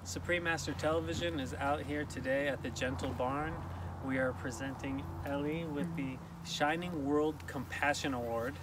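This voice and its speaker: A middle-aged man presenting an award, reading a formal letter in a measured way. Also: on the high side, steady tone. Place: outdoors at a farm. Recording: outdoor recording with background noise.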